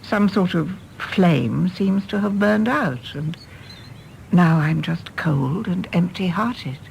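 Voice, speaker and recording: An actress playing a weary, disillusioned mother in a radio drama, measured and emotive. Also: on the low side, vocal fry, a little mellow, slight background noise, exaggerated voice. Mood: sad, resigned.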